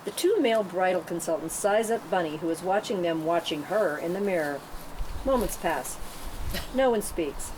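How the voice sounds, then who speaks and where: Female narrator for a script table read, lowish voice, stage directions, clearly noisy room. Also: lively intonation, normal speed.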